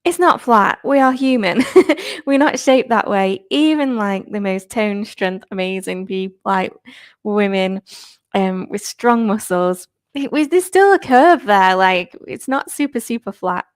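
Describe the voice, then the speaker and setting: Chatty fitness instructor. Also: female voice, medium pitch, unhurried, no echo, clean studio sound. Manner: chatty, upbeat, laughs often. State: slightly croaky. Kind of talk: live-stream chat with viewers. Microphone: new clip-on microphone.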